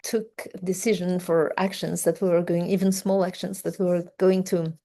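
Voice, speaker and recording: A woman opening an academic seminar as host, speaking English with frequent hesitant pauses. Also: lowish voice, little pitch movement, mellow. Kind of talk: seminar introduction. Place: seminar room, hybrid session.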